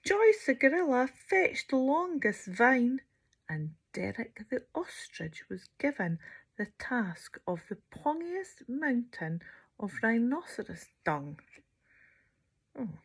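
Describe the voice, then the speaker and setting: A woman storyteller reading to children theatrically, drawing out words and dropping into hushed near-whispers. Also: a little high, big pitch swings, slow pace, slightly rough.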